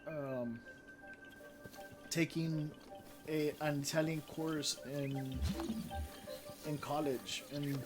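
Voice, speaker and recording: A man's voice: fairly high pitch, fairly even intonation, extremely slow, pauses often, poor audio. Man chatting over game music. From Mexico. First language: Spanish. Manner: chatty, reads out viewer comments, frequent fillers and restarts. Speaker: live streamer and channel moderator. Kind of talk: casual live-stream chat while playing a game. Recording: game music playing loudly underneath.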